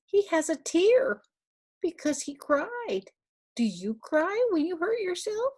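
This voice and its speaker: An older woman reads a picture book aloud to toddlers, speaking simply with questions and little instructions, in a breathy, husky voice. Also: very high voice, sing-song, slow pace, a little mellow. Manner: simple, instructive, asking the child questions and giving prompts. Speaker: storyteller for young children.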